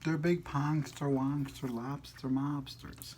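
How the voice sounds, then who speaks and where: A man's voice: mid-range voice, level tone, slow pace, darkish tone, slight background noise. A man chatting casually as a vlog host, playful and slangy, rambling as he goes. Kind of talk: casual chat to camera.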